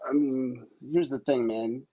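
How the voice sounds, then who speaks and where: Male podcast co-host, average pitch, blunt and sweary, dry but dull-sounding mic. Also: fairly even intonation, a little slow, dry recording. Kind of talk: casual opinionated podcast debate.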